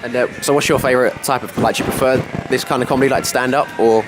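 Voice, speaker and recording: Brisk male radio interviewer, mid-pitched, faint music behind him. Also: steady tone. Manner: quick questions with many ums. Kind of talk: casual interview questions.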